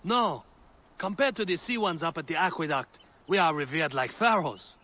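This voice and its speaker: Game role: talkative male workman, raised bright voice, wry, sardonic complainer. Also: fairly high pitch, expressive, moderate pace. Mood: wry grumbling. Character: wry, talkative male Egyptian quarry labourer. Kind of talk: dramatic video game acting.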